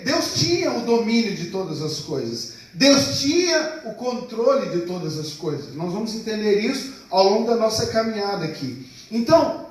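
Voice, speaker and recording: A pastor preaching a sermon to a congregation, calling out for responses and drawing out key words slowly. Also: male voice, very high-pitched. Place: church hall. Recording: echoey hall, mic far off.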